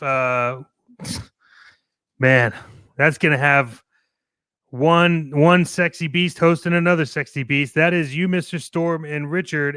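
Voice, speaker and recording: A man co-hosting a toy collectors' show, giving casual, playful promotional shout-outs like a pitchman. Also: clean tone, no room sound.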